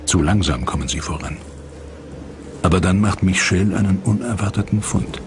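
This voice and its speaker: A man's voice: low voice, normal speed, background noise. A man narrating a dramatic documentary from a script.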